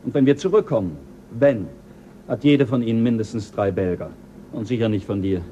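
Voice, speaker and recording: A man's voice: lowish voice, brisk, warm tone, a little hiss or hum, natural voice. An actor playing a stern male chief pilot who keeps the crew in line, firm and dry. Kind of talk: 1970s radio play acting.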